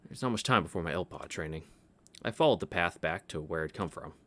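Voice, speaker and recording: Deep-voiced man reading a visual novel. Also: lively intonation, a little fast, fairly bright tone. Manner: reads narration, breaks off into casual asides. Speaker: let's-play host.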